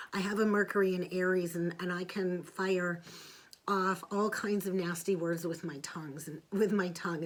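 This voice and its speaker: A middle-aged woman giving a weekly tarot reading, blunt and frank, with some salty language. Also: lowish voice. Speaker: tarot reader. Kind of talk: spiritual forecast monologue.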